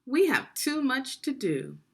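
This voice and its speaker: A woman's voice: sits high, very animated, slow, vocal fry, a bit bright, slightly rough, voice pushed up, heavily acted. A woman voicing a lazy middle brother in a children's storybook, with an emphatic, complaining manner. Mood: dismissive.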